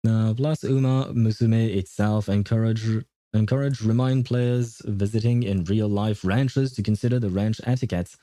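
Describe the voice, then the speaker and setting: A man hosting a livestream, chatting casually with viewers in a halting way, often chuckling. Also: lowish voice, steady tone, dark tone, no room sound, studio quality. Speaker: livestream host. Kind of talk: casual chat with live stream viewers.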